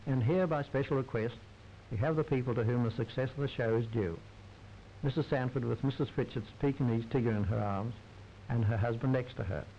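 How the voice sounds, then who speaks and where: Male, droll formal 1930s newsreel narrator, mid-pitched, on a muffled vintage soundtrack. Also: a little hiss or hum. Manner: wry, droll, formal society commentary.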